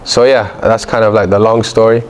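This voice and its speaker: Low-voiced man with international British accent. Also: a little fast, a little hiss or hum. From Belgium. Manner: relaxed, talks in loose run-on phrases. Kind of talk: casual interview chat.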